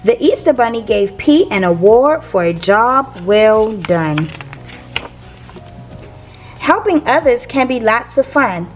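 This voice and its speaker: A woman reads a storybook for young children. Her voice is high and swings widely in pitch as she tells it expressively. Soft music plays underneath. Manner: expressive, measured phrasing for young listeners. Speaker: teacher reading picture books for a channel. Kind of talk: children's read-aloud storytelling.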